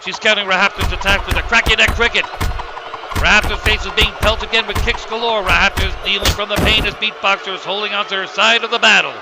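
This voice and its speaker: A man playing an excitable wrestling commentator, calling the fight blow by blow. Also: high-pitched, a little fast, over loud music. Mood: focused. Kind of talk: sports commentary.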